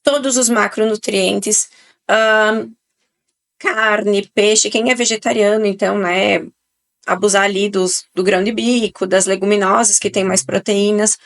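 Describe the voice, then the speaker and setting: A woman's voice: medium pitch, fairly even intonation, measured pace. A woman around forty with an Italian-influenced southern Brazilian Portuguese accent, talking chattily and emphatically as a guest on a radio podcast. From southern Brazil, Italian-settled region. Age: 40s. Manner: chatty, emphatic, tag questions. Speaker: guest nutrition speaker. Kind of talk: casual radio podcast interview. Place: radio studio.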